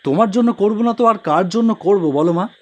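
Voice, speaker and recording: A man's voice: sits high, a little fast, natural voice. A man playing a humble, devoted young auto-rickshaw driver who tells his own story earnestly in a cartoon. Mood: caring, insistent. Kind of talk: first-person cartoon horror story narration with dialogue.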